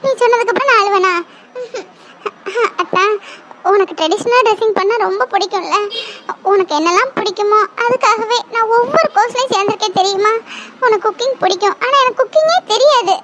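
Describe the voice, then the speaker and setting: A woman's voice: extremely high pitch, fairly bright tone, lo-fi sound, a little hiss or hum. A woman playing a young woman who pleads with her drunk lover, tearful and half-laughing. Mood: tender.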